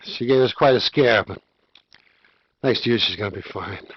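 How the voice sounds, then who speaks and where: A man's voice: on the low side, normal speed, breathy edge, no echo. A man acting as an emotional loved one of a patient in a live-action hospital game. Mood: relieved. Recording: dull lo-fi game video audio.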